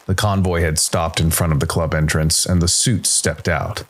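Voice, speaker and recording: A man's voice: low-pitched, fairly even intonation, moderate pace. A man reading a dramatic science fiction story as a scripted narration.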